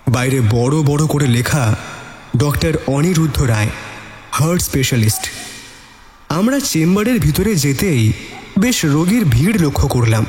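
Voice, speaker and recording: Echoey, higher-voiced man narrating suspense. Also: a little mellow, slight background noise. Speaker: story narrator. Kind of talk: dramatic suspense audiobook narration in first person. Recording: echoey long reverb, music underneath. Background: suspense music.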